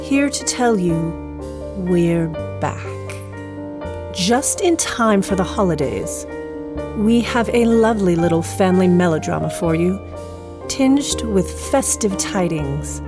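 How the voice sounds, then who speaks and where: Slow, low-voiced woman host over music. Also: expressive. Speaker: podcast producer and director, host. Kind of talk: scripted introduction. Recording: music under the voice.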